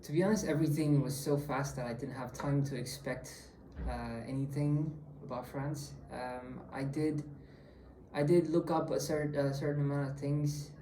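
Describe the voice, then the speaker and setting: A young man from India in his twenties, answering interview questions casually, halting with fillers. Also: on the high side, fairly even intonation, roomy sound, poor audio. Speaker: student interviewee.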